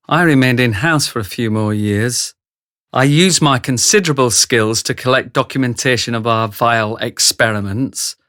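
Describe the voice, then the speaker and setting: An actor playing an older, retired insider who narrates a radio drama in a measured, wry way. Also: male voice, mid-range voice, fairly bright tone, no room sound, studio quality. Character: retired male insider narrating a dark confession.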